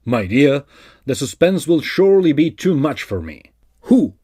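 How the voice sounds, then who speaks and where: Man playing a gallant, animated opera singer. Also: fairly high pitch, measured pace, breathy edge, heavily acted. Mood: eager. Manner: florid, expressive. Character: gallant, theatrical male opera singer of old times. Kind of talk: theatrical audio drama acting.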